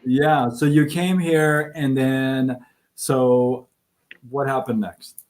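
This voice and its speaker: A man's voice: medium pitch, steady tone, slow pace, a little mellow. A man hosting a live interview show, enthusiastic and stumbling a little over his words. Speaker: host.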